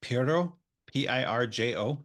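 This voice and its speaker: A man hosting an education podcast, chatting casually and warmly with his guest, with plenty of fillers. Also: mid-range voice, animated, unhurried. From United States. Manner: friendly, chatty, with many fillers. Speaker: podcast host. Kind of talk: casual interview chat.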